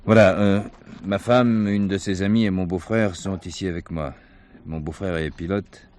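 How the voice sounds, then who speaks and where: An actor playing a reserved, wry middle-aged police commissaire in a French crime drama, speaking in a measured, authoritative way. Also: male voice, low-pitched, fairly even intonation, darkish tone, slight background noise, close to an everyday voice. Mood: hesitant, courteous.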